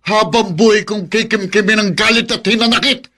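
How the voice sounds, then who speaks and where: A bitter young Filipino troublemaker in a radio drama. His voice sits high for a man and cuts sharp and bright. He taunts and challenges like a bully looking for a fight. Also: fairly quick. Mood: bitter, angry. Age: young adult. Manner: taunting, confrontational.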